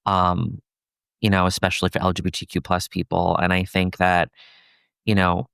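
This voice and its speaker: A young adult man with a soft, somewhat feminine voice, chatting casually as a podcast guest, with many fillers. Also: sits low, moderate pace, creaky, smooth, dry recording, clean studio sound. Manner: soft-spoken, relaxed, many fillers like "you know" and "like". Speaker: podcast guest, content creator. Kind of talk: casual conversational interview.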